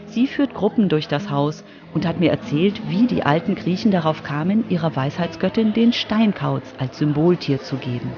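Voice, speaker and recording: A woman's voice: low voice, lively intonation, moderate pace, few pauses, lo-fi sound, over music. A woman reading scripted narration as the reporter of a children's radio feature.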